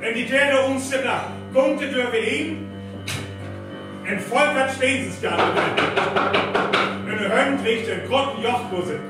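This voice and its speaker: Male narrator, North Frisian accent, high-pitched, slow, live radio play, very echoey, piano behind. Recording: very echoey live stage recording, distant mic. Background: piano music.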